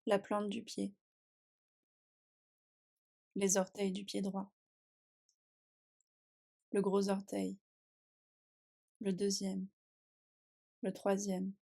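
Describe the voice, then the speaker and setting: A woman leads a guided deep relaxation. Her pitch sits at a middle height and barely moves, with a noticeable creak in the voice. She gives calm, soothing instructions in short phrases, leaving long pauses between them. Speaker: yoga nidra meditation guide. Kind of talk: guided relaxation meditation, scripted.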